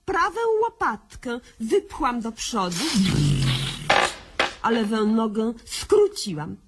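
A slow, high-voiced young doctor. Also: female voice, sing-song, slight background noise. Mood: mock-solemn. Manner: mock-solemn, deliberate, flowery wording. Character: naive, eager young woman doctor narrating her absurd diary. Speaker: series lead. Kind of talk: satirical radio sketch narration and acting.

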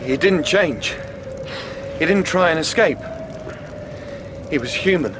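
A man's voice: lively intonation, slow. An actor playing a bitter, suspicious male survivor in a radio drama, speaking in short clipped phrases. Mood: bitter, accusing. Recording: clear rumbling noise behind.

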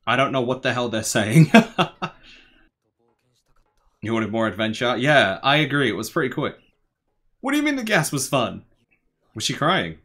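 A young man giving casual, slangy reaction commentary, musing aloud in a laid-back, tired, low-energy way. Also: big pitch swings, normal speed, pauses often, fairly bright tone. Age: young adult. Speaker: anime reaction host. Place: small bedroom, indoors.

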